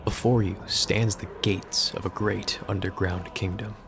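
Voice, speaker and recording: A man's voice: deep voice, steady tone, a little slow, gravelly, background noise. A young man from the United States, giving a scripted class speech in a casual, wry way with slangy asides. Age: young adult. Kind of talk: recorded speech, scripted but jokey.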